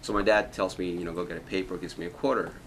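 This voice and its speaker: A man from Ecuador telling stories in a relaxed documentary interview, often saying "you know". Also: low voice, fast, background noise.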